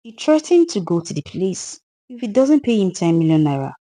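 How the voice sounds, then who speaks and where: A woman's voice: mid-range voice, very animated, brisk, warm tone, close to an everyday voice. A woman voicing the sorrowful, wronged ghost of a murdered widow in a dramatised folktale, plaintive and earnest, with a Nigerian accent.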